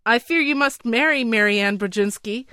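Lady doing a shaky scared counselor guy, pitched up, dry sound. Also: moderate pace, pushed and bright, smooth, no echo. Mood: anxious. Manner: shaking, pleading voice. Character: timid frightened male royal counselor, guilty.

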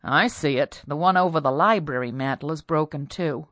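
A woman voicing a stubborn, resentful husband who speaks loudly and bluntly in exasperation. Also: low voice, moderate pace, dry recording. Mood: exasperated.

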